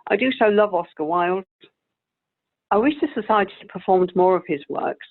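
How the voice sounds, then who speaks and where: A woman playing a wistful, genteel, sweetly vain elderly actress in an amateur radio play, with a creaky voice. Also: mid-range voice, expressive, moderate pace. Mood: nostalgic. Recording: tinny, phone-like video call audio.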